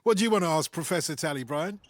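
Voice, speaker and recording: A man's voice: fairly high pitch, animated, quick pace, no echo, studio quality, natural voice. A man playing an effusive, talkative radio phone-in host, gushing and teasing in a radio drama. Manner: showy, gushing, teasing, interrupting. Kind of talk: radio drama acting, talk show presenting.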